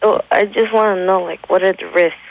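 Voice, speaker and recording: A thirteen-year-old boy calling a radio show, speaking in a hesitant, halting way over the phone. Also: high-pitched, steady tone, creaky. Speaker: phone caller. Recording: noisy radio phone call.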